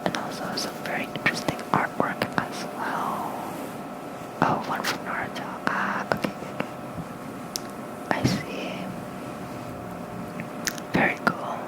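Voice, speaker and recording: ASMR host for sleep content: fully whispered, unhurried reading, soft and casual, close on the mic. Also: rough recording. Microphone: close fluffy-covered microphone.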